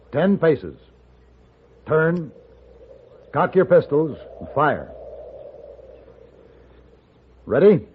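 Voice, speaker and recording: An actor playing a composed, dry, commanding gentleman gunfighter in a 1950s radio western, speaking with measured formality. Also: a little high, breathy edge, full character voice. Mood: stern, commanding. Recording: narrow-band 1950s radio recording.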